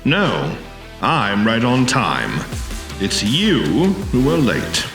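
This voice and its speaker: An actor playing a cold, threatening assassin in an action sci-fi film, speaking curtly. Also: male voice, mid-range voice, wildly swooping pitch, very slow, gravelly, a bit bright, exaggerated voice. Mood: cold, menacing. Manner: curt, threatening. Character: cold, menacing male assassin with a sword. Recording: roomy sound, faint music behind.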